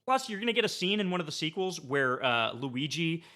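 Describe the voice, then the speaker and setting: A man chatting casually as a podcast guest, effusive and animated, stumbling over his words with enthusiasm. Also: on the high side, brisk.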